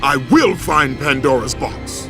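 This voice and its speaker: A brutal warrior of ancient Greece for a game cutscene. He declaims slowly and commandingly, his voice swinging widely in pitch, with wrath in his delivery and music loud behind him. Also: very animated. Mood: vengeful, defiant. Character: wrathful Greek warrior, brutal servant of the war god. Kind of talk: video game cutscene acting.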